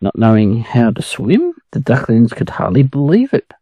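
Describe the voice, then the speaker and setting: A man's voice: mid-range voice, wildly swooping pitch, moderate pace, slightly rough, no echo, highs cut off. An Australian man narrating a children's story for English learners as a storyteller.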